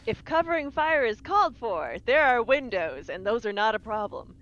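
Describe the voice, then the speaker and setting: A woman's voice: on the high side, big pitch swings, normal speed, fairly bright tone, highs cut off. A woman roleplaying in a tabletop game with a sardonic manner.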